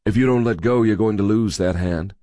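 A man's voice: lowish voice, a little fast, a little mellow, no room sound, natural voice. A man voicing a cool, cynical male drifter in a dry, conversational manner. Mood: cool, polite.

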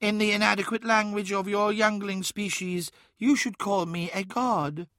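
A man's voice: high-pitched, steady tone, normal speed, fairly bright tone, no echo, clean studio sound, voice pushed up. A man playing an ancient, boastful demon god, speaking in a grandiose, taunting and commanding way in a fantasy audiobook. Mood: imperious.

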